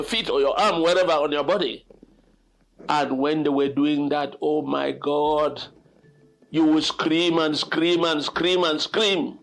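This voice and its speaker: Older male storyteller host, high register, expressive and dramatic informal delivery, faint music behind. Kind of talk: informal spoken storytelling and reflection.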